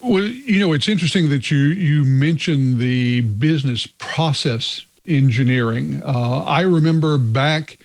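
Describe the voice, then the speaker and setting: A man hosting a tech podcast, introducing his guest and asking questions in a measured, deliberate way. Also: fairly high pitch, darkish tone. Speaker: podcast host.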